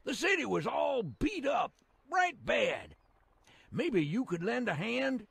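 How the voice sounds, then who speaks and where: An actor voicing a folksy, excitable old-timer railroad guide in a video game, with a rural American accent, a creaky voice and a colloquial, theatrical manner. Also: male voice, very high-pitched, takes it slowly, dry recording, exaggerated voice. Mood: folksy storytelling.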